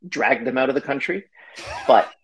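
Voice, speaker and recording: A man speaking as a guest on a podcast, telling stories quickly and casually and laughing easily. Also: medium pitch, lively intonation, fairly bright tone, slightly rough, poor audio. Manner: quick, chatty storyteller who laughs easily. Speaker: podcast guest, company founder. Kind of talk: casual interview talk.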